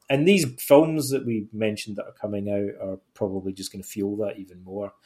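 A man's voice: mid-range voice, slightly rough. A middle-aged Scottish man, an author answering interview questions in a rambling, self-deprecating way with many fillers and restarts. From Scotland. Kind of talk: relaxed conversational answers.

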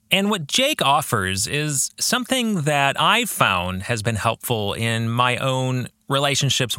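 A man hosting a podcast, giving reflective commentary on a book in measured, emphatic phrases and putting questions to listeners. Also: mid-range voice, bright, forceful tone, no room sound, studio quality. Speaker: podcast host and author.

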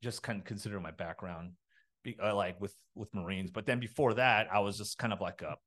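A man from a Los Angeles suburb, likely in his thirties, talking as a podcast guest in a halting, rambling way full of fillers and restarts. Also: fairly low pitch, a bit bright. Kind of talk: casual interview talk.

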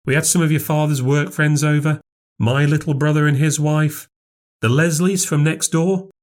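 Guy doing a prim old nan for an audiobook, highish voice, genteel n a bit self righteous. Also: steady tone, moderate pace, close to an everyday voice. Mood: prim, wistful. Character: genteel, self-righteous, easily wounded grandmother. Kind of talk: audiobook character voice.

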